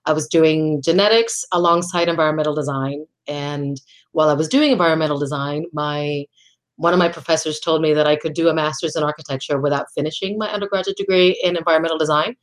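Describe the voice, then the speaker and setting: A woman's voice: sits low, fairly even intonation, quick pace. A middle-aged woman from Puerto Rico, a guest architect, answering interview questions in a thoughtful, conversational way, often restarting phrases and laughing between thoughts.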